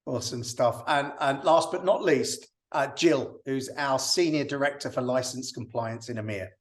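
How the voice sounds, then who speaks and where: A man hosting an online panel casually, in a halting way that often repeats words. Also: mid-range voice. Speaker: panel host. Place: online video call.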